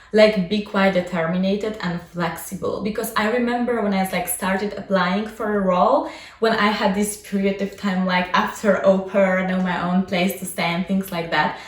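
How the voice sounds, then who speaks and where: A woman's voice: medium pitch, fairly even intonation, moderate pace. A young adult woman whose first language is Polish, talking casually about her own life as a vlogger and coach, with many fillers like "like" and "you know". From Poland. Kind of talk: casual personal vlog. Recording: echoey room, mic at a distance.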